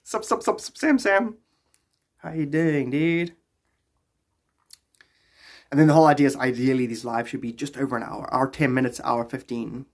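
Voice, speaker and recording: A man in his thirties with a South African accent, chatting casually and playfully in slang as he hosts a live stream, close to a phone in a small room. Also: mid-range voice. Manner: playful, slangy, greeting viewers in drawn-out exclamations. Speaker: live streamer and podcast host.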